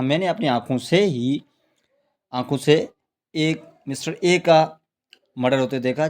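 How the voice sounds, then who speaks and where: Pakistani guy teaching like a law tutor, mid-pitched voice, keeps rephrasing and asking then answering his own questions. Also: darkish tone. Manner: repeats and rephrases points, asks rhetorical questions and answers them; frequent check-in tags. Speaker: law lecturer. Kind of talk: explanatory exam-prep lecture in Urdu mixed with English legal terms.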